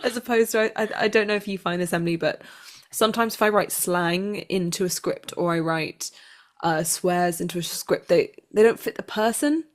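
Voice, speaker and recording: Lowish-voiced woman in casual conversation. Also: normal speed. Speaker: actor being interviewed.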